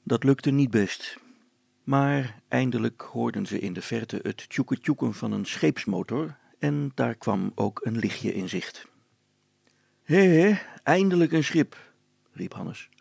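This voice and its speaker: A man's voice: medium pitch, unhurried, gravelly, darkish tone, breathy edge, no echo. A man reading a children's story aloud as a scripted audiobook narration.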